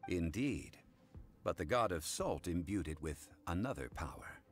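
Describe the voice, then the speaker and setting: An actor playing a calm, dignified male scholar and consultant in an anime game, speaking formally and in a measured way. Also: sits low. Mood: composed, formal. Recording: music behind the voice.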